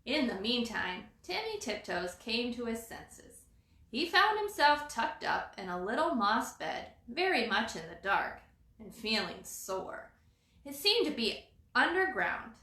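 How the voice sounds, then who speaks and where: A woman reading a children's storybook aloud as storyteller, in an expressive, dramatizing manner. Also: on the high side, a little slow. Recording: roomy echo.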